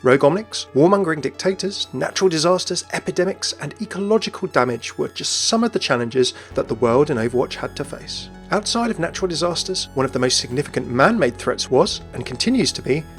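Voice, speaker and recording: A man delivers scripted narration as a video essayist. His pace is brisk and his voice sits a little high. Light music runs behind him. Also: lively intonation.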